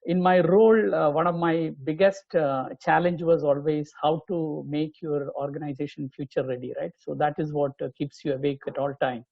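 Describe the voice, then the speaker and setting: A man's voice: a little high, steady tone, moderate pace, creaky, hardly any pauses, no echo, phone-quality sound. A man with an Indian accent in his fifties, speaking earnestly over a video call with many fillers. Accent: Indian English. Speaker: guest, chief information officer. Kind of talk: casual video call chat.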